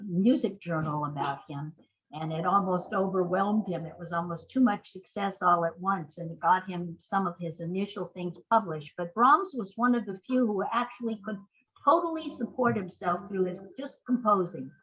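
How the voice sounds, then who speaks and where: An older woman talking as an interview guest, telling stories conversationally in halting, reflective phrases. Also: lowish voice, a bit bright, slightly rough, dull audio. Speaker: playwright and screenwriter guest.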